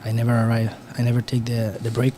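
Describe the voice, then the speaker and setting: A young man from Spain with a Spanish accent, a guest player commenting on pool in halting, restarting English from a tournament booth. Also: medium pitch, completely flat delivery, background noise. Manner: halting non-native English with frequent restarts.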